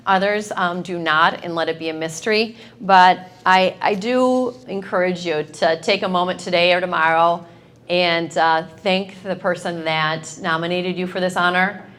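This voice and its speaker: A woman's voice: fairly low pitch, moderate pace, a bit bright. Woman, middle-aged, principal, upbeat, direct, school assembly address, lapel mic. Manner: upbeat, direct, speaks up to a crowd. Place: large school cafeteria with students.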